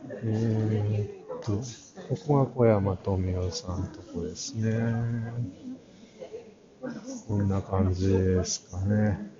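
A middle-aged man giving casual walk-through commentary for a vlog, halting and muttering asides to himself. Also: fairly low pitch, steady tone, a crowd in the background. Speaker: art channel vlogger giving a walk-through. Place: large crowded indoor exhibition hall. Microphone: action camera at arm's length, face mask on. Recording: muffled audio in a busy crowded hall.

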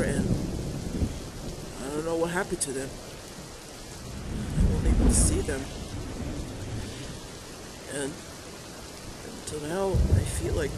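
A man playing a wounded, nervous teenage boy hero in an amateur ASMR audio drama, speaking haltingly with stammers. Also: fairly high pitch. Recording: heavy rain and thunder noise.

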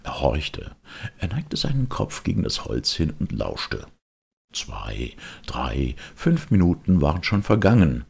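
A man narrating a children's tale as an audiobook in a theatrical, deliberate storyteller's manner. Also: a bass voice, very animated, gravelly, darkish tone, breathy edge.

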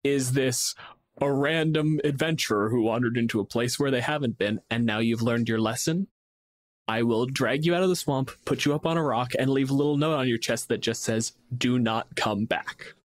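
Male podcast co-host, mid-range voice, talking through game ideas casually with quick acted-out examples. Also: medium pitch. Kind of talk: casual tabletop gaming discussion.

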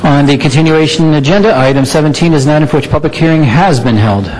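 Middle-aged man, rapid roll call, formal clerk reading, desk mic. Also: fairly high pitch, a little mellow, poor audio. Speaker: council clerk. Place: large council chamber. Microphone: desk microphone.

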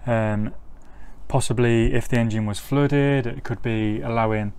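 A man's voice: mid-range voice, fairly even intonation, a little slow, a little mellow. A middle-aged man working as a mechanic, giving an informal, step-by-step technical tutorial outdoors on a driveway. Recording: clear ambient noise, outdoor driveway.

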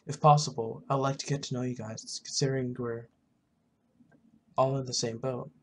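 A gamer voicing a shy, apologetic young newcomer in a video game roleplay, halting and reserved. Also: mid-range voice, level tone, close to an everyday voice.

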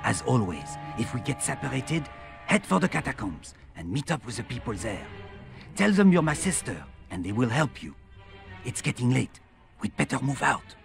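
An actor playing an earnest young French Resistance fighter, quietly businesslike and protective, in scripted game cutscene dialogue. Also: male voice, medium pitch, sing-song, normal speed, creaky, soft background music. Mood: earnest, purposeful. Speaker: resistance member briefing his sister.

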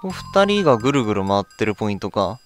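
A man's voice: lowish voice, sing-song, fast talker. A man giving casual gameplay commentary, often murmuring questions to himself as he plays. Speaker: let's play host. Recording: game music behind the voice. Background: video game music.

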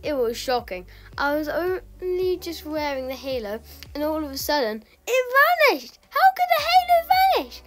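High, dramatic young victim, a woman acting. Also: sing-song, moderate pace, few pauses, very noisy. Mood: shocked. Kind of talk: scripted amateur dramatic dialogue.

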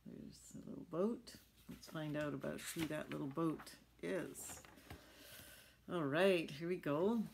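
Middle-aged female storyteller for a picture book: slow, gravelly, explains and checks in with young listeners. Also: deep voice, steady tone, darkish tone, a little hiss or hum. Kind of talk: children's read-aloud with chatty asides. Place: small furnished room at home.

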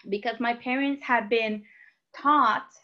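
A woman's voice: on the high side, steady tone, slow pace. A woman in her thirties from Costa Rica telling her life story as a personal testimony over a video call. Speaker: guest sharing her testimony. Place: room at home, indoors. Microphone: computer mic on a video call. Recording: dull, lo-fi video call audio.

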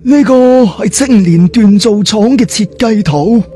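A man voicing a sly, secretive old boss in an audiobook, speaking in a deliberate, ominous way. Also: sits high, warm tone, soft background music. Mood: secretive, serious. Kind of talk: audiobook character dialogue.

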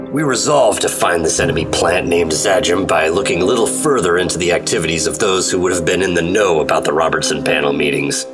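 Man acting low-voiced hard-boiled 1950s G-man. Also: distant mic, natural voice. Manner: clipped, dramatic, hard-boiled. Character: steely 1950s government G-man agent narrating his adventures. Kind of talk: 1950s radio adventure narration. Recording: loud music under the voice.

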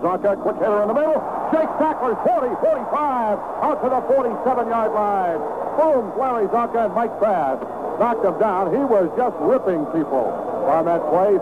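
A man calling live football play-by-play on the radio in clipped, vivid phrases, siding with the home team. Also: sits high. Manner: clipped, vivid present-tense calls, partisan for the home team. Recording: tinny, very noisy vintage radio broadcast.

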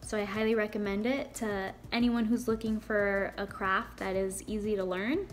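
A young woman sending a casual video message from home, recommending a calm hobby in a gentle way. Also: medium pitch, normal speed, a little hiss or hum. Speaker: viewer sharing a new hobby.

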